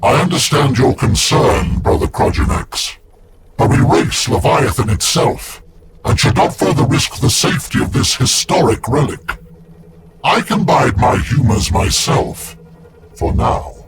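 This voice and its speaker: An actor playing a grave, stoic space-marine warrior of rank in a video game, speaking in a measured, formal, commanding way. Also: male voice, mid-range voice, animated, heavy background noise, natural voice. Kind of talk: game cutscene acting.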